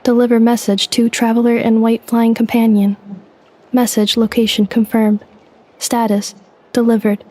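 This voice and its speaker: A woman voicing an amnesiac girl robot who speaks in clipped, flat status reports. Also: fairly high pitch, normal speed, close to an everyday voice. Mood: mechanical.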